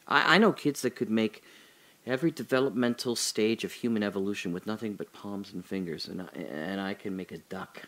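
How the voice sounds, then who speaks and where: An actor playing a lone young man trapped in the dark, talking to himself in a restless, rambling way. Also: on the low side, fairly quick, lightly acted. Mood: hushed. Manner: restless, talking to himself, swings from hushed to loud. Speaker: radio drama actor. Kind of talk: solo audio drama monologue.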